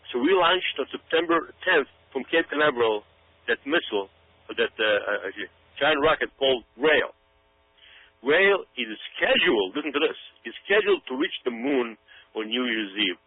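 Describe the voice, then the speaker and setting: Emphatic man on a tinny phone-like line. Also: mid-range voice. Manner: emphatic, urgent, repeatedly checks with "okay?". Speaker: guest commentator. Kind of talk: unscripted radio talk-show commentary. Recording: narrow, tinny phone-like radio sound.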